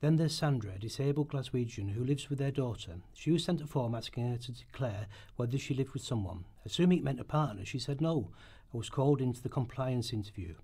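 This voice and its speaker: Male narrator for radio documentary drama, mellow and somewhat raspy, reading from a script. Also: medium pitch, expressive, quick pace, hardly any pauses.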